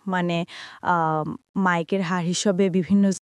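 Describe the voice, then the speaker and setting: A woman's voice: lowish voice, fairly even intonation, unhurried, smooth, dry recording, muffled recording. A woman of twenty-three with a Bangladeshi Bengali accent, telling her personal life story as an interview guest.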